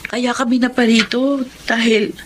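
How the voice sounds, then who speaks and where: An actress with a Filipino accent playing a remorseful, concerned young friend in a radio drama. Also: female voice, fairly high pitch, little pitch movement, normal speed, background noise, close to an everyday voice. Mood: contrite, urgent.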